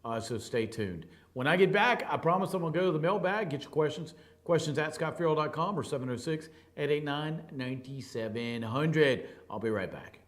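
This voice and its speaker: A man with a booming baritone voice, hosting a talk show and speaking in an emphatic, conversational monologue. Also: expressive, moderate pace, few pauses, fairly bright tone, a little hiss or hum. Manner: conversational, emphatic, acts out quoted people. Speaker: radio and TV talk show host.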